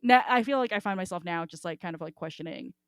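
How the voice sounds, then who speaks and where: A woman's voice: on the low side, expressive, fast, smooth, no room sound, clean studio sound. A woman hosting a casual book discussion, talking in a rambling, informal way and swearing freely. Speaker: podcast host.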